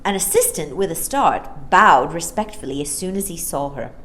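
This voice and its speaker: A woman narrating a children's story as a storytime reader, in an expressive, theatrical way. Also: sits low, normal speed, rough recording. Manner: animated, theatrical.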